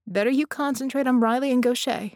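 A woman's voice: high voice, fairly even intonation, fast talker, a little mellow, dry recording, clean studio sound, pitched above the performer's own voice. Woman acting a choked, weary, stoic woman. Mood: weary, caring.

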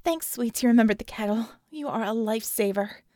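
A woman as a high-voiced, nervous, wry female magician. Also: a little fast, mellow, no room sound, studio quality, lightly acted. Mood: fond, breezy. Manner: hesitant, rambling, wry. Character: nervous, earnest young woman techno-magician, friendly and dry.

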